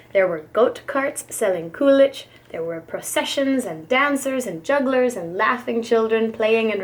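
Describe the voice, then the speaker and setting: A woman preschool teacher reading a picture book to young children in an expressive, careful storytelling manner. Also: on the high side.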